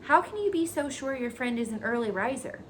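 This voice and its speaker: Casting: a woman doing a bossy old ewe for a storybook, scolding with animated swings in pitch. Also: brisk, slight background noise, natural voice. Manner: stern, lecturing. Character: old female sheep, scolding and disgusted.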